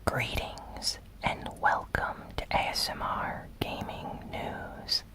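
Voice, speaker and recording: An ASMR host giving a gaming news recap in a breathy, unvoiced whisper with soft, deliberate pauses.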